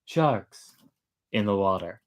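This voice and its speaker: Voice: sits low, expressive, takes it slowly, dull audio, pitched below the performer's own voice. Sly shark demigod posing as friend, storyteller's voice. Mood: sly, falsely friendly. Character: sly male shark demigod in disguise, feigning friendly warnings.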